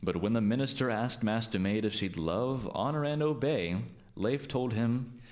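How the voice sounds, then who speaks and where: A man's voice: mid-range voice, normal speed, a little mellow, lightly acted. A man narrating a fairy tale as the storyteller of a scripted radio play. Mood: dramatic narrating.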